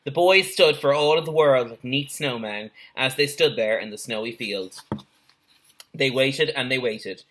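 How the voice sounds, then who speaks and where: Bright-voiced man reading a storybook. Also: medium pitch, measured pace, a little hiss or hum. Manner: expressive, with brief asides. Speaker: teacher reading a story to pupils. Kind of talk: animated children's storybook reading.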